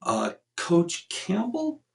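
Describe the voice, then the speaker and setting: A man's voice: high voice, wildly swooping pitch, extremely slow, creaky. A man with a Chicago accent hosting a casual interview, upbeat and joking, laughing easily. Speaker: show host.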